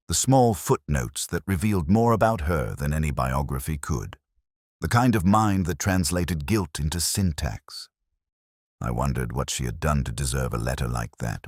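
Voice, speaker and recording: A middle-aged man narrating a scripted first-person detective story in a measured, brooding, literary manner. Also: extremely low pitch, husky, dry recording, studio quality.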